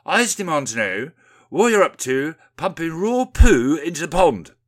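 A man's voice: a little high, big pitch swings, normal speed, a bit bright, voice pushed up. A man voicing an indignant, boozy, downtrodden village miller with a blurry, plaintive way of talking in a satirical storybook parody.

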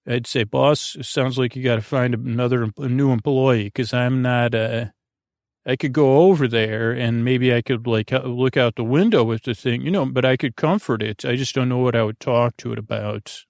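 A man hosting a sleep podcast in a meandering, halting monologue, often stammering and trailing off, with a creaky, droning voice recorded in a small closet. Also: medium pitch, fairly even intonation, darkish tone, dry recording, clean studio sound. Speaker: podcast host. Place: small closet under the stairs.

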